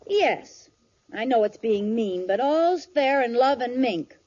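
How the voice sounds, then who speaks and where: A scheming housewife in an old radio sitcom, with a high, unhurried voice. She is theatrical and puts on frailty to get her way, on a muffled vintage recording. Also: animated, a little mellow, soft background music. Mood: sly, scheming. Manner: theatrical, with exaggerated groans and put-on frailty. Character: scheming middle-class housewife feigning sickness. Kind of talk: 1950s radio sitcom acting.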